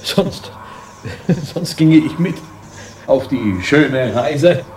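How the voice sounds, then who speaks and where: A male actor in a radio play, playing a soothing, wistful elderly scientist in an unhurried, quietly eerie manner. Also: medium pitch, lively intonation. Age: older. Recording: echoey room with background hum.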